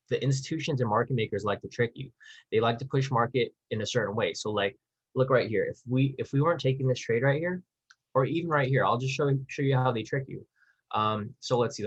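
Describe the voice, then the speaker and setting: A man with a husky, slightly rough voice at a medium pitch leads an online training session. He explains in an informal, slangy way, as if chatting with the group. The sound is a dull, lo-fi webinar recording. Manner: informal, slangy, conversational, checks in with listeners. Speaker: trading instructor hosting a live webinar. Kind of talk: casual explanatory webinar teaching.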